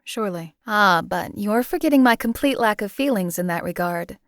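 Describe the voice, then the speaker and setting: A woman voicing a numb, detached female hired killer in a game, who talks in a measured, dry way. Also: medium pitch, animated, dry recording, close to an everyday voice. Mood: dry, wry. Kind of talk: video game companion voice lines.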